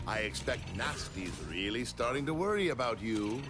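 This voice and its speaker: Brief: a man playing a kindly dragon elder, lively tone, game music underneath. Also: mid-range voice, lightly acted. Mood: encouraging. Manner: encouraging, measured.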